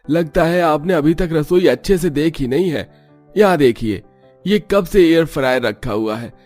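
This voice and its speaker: A man plays a humble, cheeky servant in a cartoon family tale. His voice is high for a man, deferential as he explains things, with music underneath. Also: expressive, normal speed, darkish tone, lightly acted. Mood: helpful. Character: humble, cheeky, helpful male household servant. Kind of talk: Hindi cartoon dubbing.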